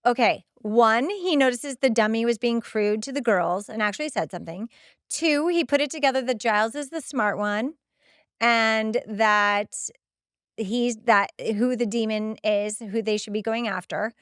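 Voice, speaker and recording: A middle-aged woman hosting a podcast, reading recap notes with casual, expressive and exasperated asides. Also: on the high side, clear, resonant tone, no room sound, studio quality. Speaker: podcast host.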